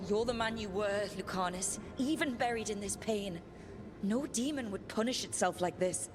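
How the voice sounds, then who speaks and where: A woman's voice: mid-range voice, normal speed, background noise, natural voice. A woman playing a steadfast, earnest, determined heroine in dramatic video game dialogue. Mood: earnest, encouraging.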